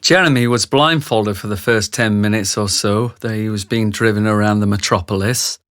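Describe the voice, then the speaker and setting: A man narrates a radio play from a script. His voice sits fairly low, with a close, dry studio-like sound. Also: normal speed, a bit bright, no room sound.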